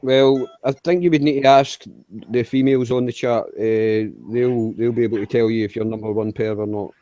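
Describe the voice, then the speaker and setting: A man chatting in a relaxed way in an online group, laughing easily. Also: medium pitch, moderate pace, no echo, low-quality recording. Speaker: livestream chat participant. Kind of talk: casual group chat.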